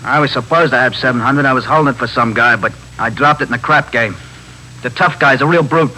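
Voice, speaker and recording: Fast, whining small-time crook acted by a man. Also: mid-range voice, bright, forceful tone, close to an everyday voice. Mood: grudging. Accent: American. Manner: slangy, pleading, evasive. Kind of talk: 1950s radio drama acting. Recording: faintly noisy 1950s radio recording.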